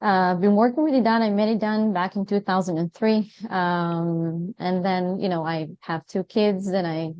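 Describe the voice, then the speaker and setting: A woman's voice: fairly low pitch, fairly even intonation, moderate pace, hardly any pauses, clean tone, no echo, lo-fi sound. Ceo lady on a podcast, upbeat go-getter vibe, dry close mic. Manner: upbeat, grateful, self-described go-getter. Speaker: company CEO, podcast guest. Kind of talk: conversational interview.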